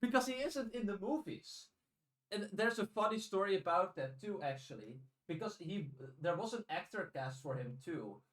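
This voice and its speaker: A man's voice: sits high, expressive, normal speed, a bit bright, poor audio. A native Dutch man speaking English as a podcast host, casual and enthusiastic.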